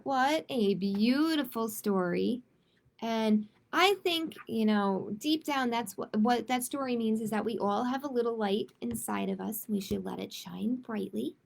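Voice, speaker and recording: A woman's voice: a little high, vocal fry, a little mellow. A woman hosting a children's storytime, reading picture books aloud expressively and adding simple chatty asides with little check-in questions. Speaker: library storytime host.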